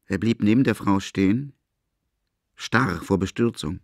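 A man reads literary narration for an audio drama. His voice is on the low side, and the recording is dry, with very little room around it. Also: measured pace, creaky, no room sound.

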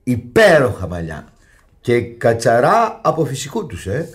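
An actor playing a flustered, irritable husband and father in a radio comedy, repeating himself in exasperation. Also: mid-range voice. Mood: embarrassed. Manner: exasperated, repetitive, excitable. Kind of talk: theatrical radio comedy acting.

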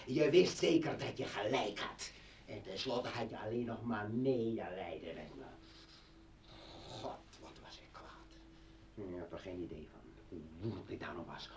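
A man's voice: on the low side, a little mellow, slight background noise. An actor playing a bitter, menacing man in a radio play, mocking and brooding as he speaks. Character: bitter, menacing armed man confronting his former partner.

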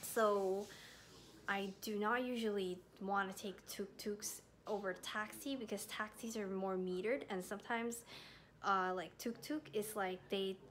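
A young woman in her twenties, raised in the US, rambling casually to her phone camera in a hotel room, filling every pause with "like". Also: mid-range voice, fairly even intonation. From Thai, raised in the US. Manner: rambling, heavy use of "like", soft and chatty. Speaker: travel vlogger. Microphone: phone camera at arm's length.

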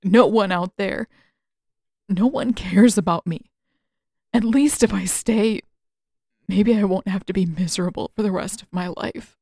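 Woman reading as an anguished, halting teenage boy. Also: medium pitch, vocal fry, a little mellow, no room sound, studio quality. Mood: miserable, bitter. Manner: halting, wounded. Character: anguished, lonely teenage boy, hurt and reluctant.

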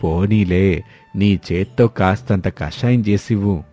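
A man voicing a fond, encouraging young village-born husband in an animated moral story, over music. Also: low voice, measured pace. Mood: cheerful.

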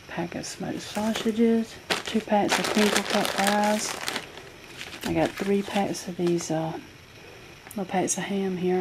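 A woman listing groceries from her table in a casual home video, with plenty of ums as she goes item by item. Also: fairly low pitch. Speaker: vlogger showing a grocery haul. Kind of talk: casual haul video narration. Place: home kitchen table, indoors. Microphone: handheld camera filming a tabletop. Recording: home camera audio with clear noise.

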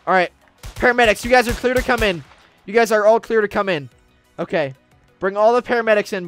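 A young man streaming a roleplay game, leading a team with urgent commands that swing from hushed whispers to shouts, recorded on a desk mic in a small room. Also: sits high. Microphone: desk mic, webcam streamer.

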